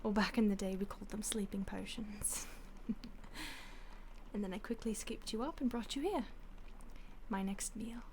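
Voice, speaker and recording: A woman voicing a polite, predatory female vampire who toys with her captive in soft, often whispered, mock-polite speech. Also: medium pitch, normal speed, long pauses, a little hiss or hum, natural voice. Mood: menacing relish. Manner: teasing, mock-polite, savoring. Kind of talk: audio roleplay.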